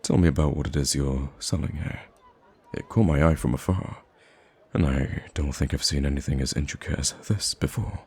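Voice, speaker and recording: A man playing a soft-spoken, wistful and gracious young prince in an ASMR roleplay, speaking gently and haltingly. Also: exaggerated voice. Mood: friendly, charming. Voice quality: very deep, gravelly, husky. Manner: hesitant, gentle, halting with sighs and stammers.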